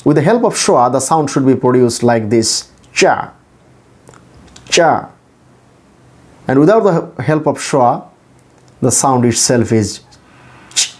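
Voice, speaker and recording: Guy teaching english pronunciation, really slow n repetitive, pitch moves around a lot. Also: animated. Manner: deliberate, repetitive explaining, sounding out phonemes. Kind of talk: instructional pronunciation lesson to camera or screen.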